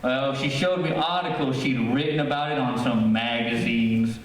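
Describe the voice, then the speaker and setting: A man's voice: mid-range voice, fairly even intonation, normal speed, natural voice. A man acting a folksy, eager real estate salesman with a breezy, persuasive manner in an old-time radio drama. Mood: breezy persuasion. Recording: distant, cavernous echoey stage, faint noise.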